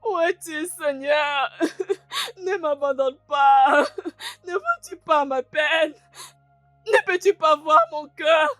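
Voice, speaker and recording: An actress playing a desperate childless woman who tearfully pleads with God, wailing and repeating herself in drawn-out cries. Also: high voice, animated, fairly bright tone, no room sound, exaggerated voice. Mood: tearful despair. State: crying, wailing.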